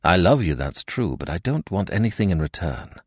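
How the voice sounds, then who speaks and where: A lovestruck young gentleman in an audiobook, played by a man. His lowish voice moves expressively up and down. He sounds earnest, intense and protective. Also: big pitch swings, fairly quick, husky, no echo, studio quality, heavily acted. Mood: soothing. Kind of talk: audiobook character dialogue.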